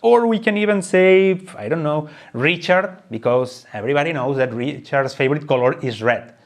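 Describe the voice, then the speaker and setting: Higher-pitched man explaining game rules. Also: animated, moderate pace. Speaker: presenter of a board game tutorial. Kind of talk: unscripted how-to explanation.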